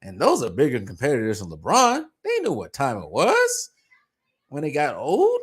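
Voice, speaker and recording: A man giving a mocking, scornful basketball rant, his voice going hoarse. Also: mid-range voice, wildly swooping pitch, moderate pace. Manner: mocking, scornful, pleading in jest. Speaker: sports commentator. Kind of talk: ranting basketball commentary.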